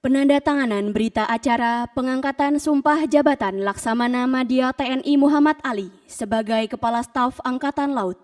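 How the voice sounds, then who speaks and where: A woman announces an official state ceremony in a fairly high voice, picked up at a distance from the microphone. Her delivery is formal, solemn and measured, as scripted protocol announcements. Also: a little mellow.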